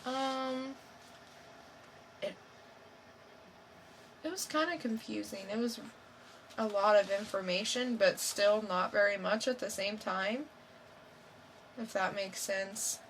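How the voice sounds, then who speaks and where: A woman co-hosting a podcast, giving a casual unscripted review in a halting, unsure way, thinking aloud. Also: medium pitch, a bit bright, a little hiss or hum. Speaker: podcast co-host reviewing comics.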